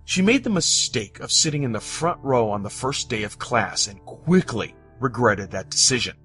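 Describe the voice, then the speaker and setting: A man from the United States narrating scripted personal stories, with dramatic, deliberate phrasing and pauses. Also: medium pitch, animated, fairly bright tone. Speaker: storyteller. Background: background music.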